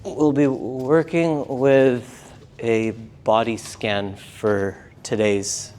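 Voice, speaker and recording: A man teaching a live yoga and meditation class, speaking gently in short, unhurried phrases with pauses between them. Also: mid-range voice, little pitch movement. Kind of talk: guided meditation class instruction.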